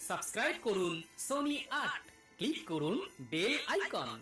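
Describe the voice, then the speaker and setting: A woman's voice: mid-range voice, sing-song, moderate pace. A woman announcer delivering a promotional message for a channel, played back from a video. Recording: loud music, played from phone video. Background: loud music.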